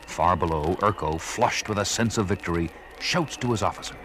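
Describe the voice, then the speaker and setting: A man's voice: low voice, normal speed, a bit bright, slight background noise, rough recording. A man narrating a 1970s children's adventure record in a theatrical, urgent storytelling style.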